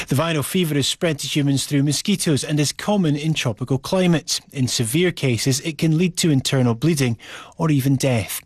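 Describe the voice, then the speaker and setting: A man's voice: fairly high pitch, dry recording, clean studio sound. A man presenting scripted broadcast news as a programme anchor.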